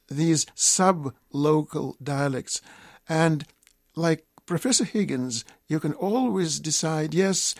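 Older Russian-accented man, higher-pitched voice. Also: dry recording, studio quality. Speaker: guest expert on language. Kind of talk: live radio interview.

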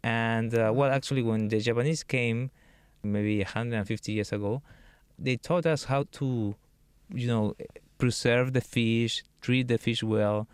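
Peruvian chef, clear smooth voice. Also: male voice, medium pitch, unhurried, no echo. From Peru. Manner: relaxed, thinks aloud with many fillers. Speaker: chef and cookbook author. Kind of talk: radio interview answers.

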